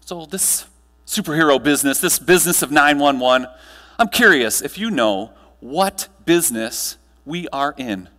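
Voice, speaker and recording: A man with a slightly high voice delivers a motivational conference speech. He uses dramatic pauses and emphatic storytelling. The sound carries the long reverb of a large hall. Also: lively intonation, bright, forceful tone. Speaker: keynote speaker. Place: large room, live audience, amplified.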